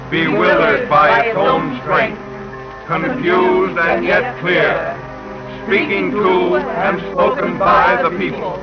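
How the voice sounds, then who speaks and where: An actor playing a solemn narrator who speaks for the people in a measured, declamatory 1940s radio pageant. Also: male voice, sits high. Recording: dull 1940 radio broadcast, loud music.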